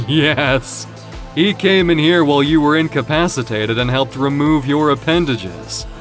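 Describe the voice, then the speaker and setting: A man playing a cocky, flamboyant villain in an audio drama, gloating theatrically over his captive with savoring pauses. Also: a little high, soft background music, lightly acted. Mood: mocking, smug. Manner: theatrical, taunting, savoring words with drawn-out pauses.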